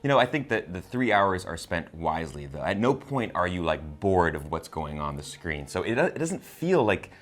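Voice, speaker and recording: Male co-host for a chatty movie review. Low voice, halting delivery with repeated words. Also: big pitch swings. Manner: hesitant, repeats words and phrases as he goes. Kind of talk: casual spoiler review chat.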